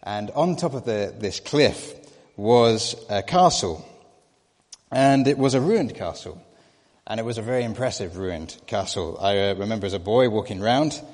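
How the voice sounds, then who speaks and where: Hesitant preacher, low and echoey. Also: male voice, darkish tone. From Scotland. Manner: hesitant, with many fillers and restarts. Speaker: trainee preacher. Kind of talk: sermon. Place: church.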